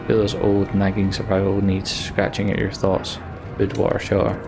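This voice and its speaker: A man's voice: sits low, monotone, normal speed, creaky. A man commentating on a video game, reading its text aloud and adding dry, resigned asides, with music behind him. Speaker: let's play host.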